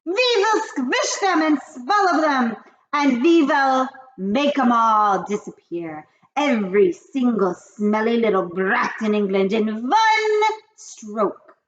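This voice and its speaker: A shrieking, tyrannical witch queen, voiced by a woman reading aloud, barking orders in slow, stretched-out, high bellows. Her voice leaps up and down wildly. The recording sounds dull and lo-fi. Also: very animated, reverberant. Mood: gloating. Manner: slow, drawn-out, bellowing commands. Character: imperious shrieking female witch leader, tyrannical.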